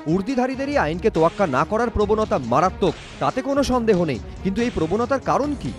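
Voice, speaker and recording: Male news reporter, lively swooping intonation, emphatic scripted read, music behind. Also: sits high, fast talker. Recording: music bed under voice. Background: background music.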